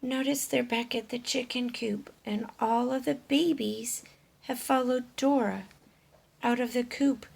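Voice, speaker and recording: A woman reading a picture book aloud to children, often hushed, with expressive, deliberate narration and questions for young listeners. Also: a little high, creaky, husky.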